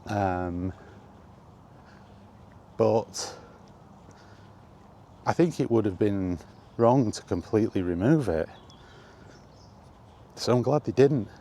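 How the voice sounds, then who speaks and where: A man hosting a casual, rambling chat, thinking aloud with hesitant pauses. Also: on the low side, mellow. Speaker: video host reminiscing about games. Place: on the move, walkie talkie vlog. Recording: faint ambient background noise.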